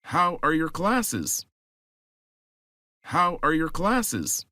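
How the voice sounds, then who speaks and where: A man playing a helpful, friendly classmate in a slow scripted dialogue for English learners, saying each line twice. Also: a little high, wildly swooping pitch, sharp tone, no room sound, clean studio sound, full character voice.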